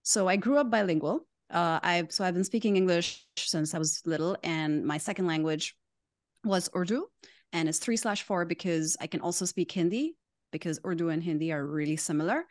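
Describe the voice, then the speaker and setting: A woman from Pakistan chats as a podcast guest, explaining with good humor. Her voice sits a touch low and is recorded dry and close. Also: moderate pace, dry recording. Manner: amused, laughing often while explaining. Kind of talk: casual interview chat.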